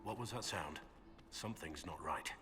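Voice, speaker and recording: Man doing a gruff stoic game warrior, super deep, few words, hall reverb. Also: moderate pace, loud music behind the voice, close to an everyday voice. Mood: uneasy. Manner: terse, dry, formal. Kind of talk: video game voice acting.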